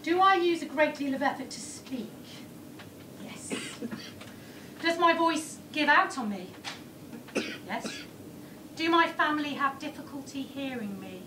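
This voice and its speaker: A woman performer telling her own story on stage in the present tense, often dropping to a low, hushed delivery. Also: animated, slow pace, pauses often. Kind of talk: first-person theatrical storytelling. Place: theatre stage. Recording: distant, echoey room with background noise.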